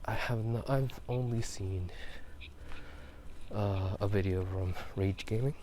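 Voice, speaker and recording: A man whispering a casual, rambling ASMR chat in a halting, unhurried way while out walking through a town at night. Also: lowish voice, warm tone, slight background noise. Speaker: ASMR video host.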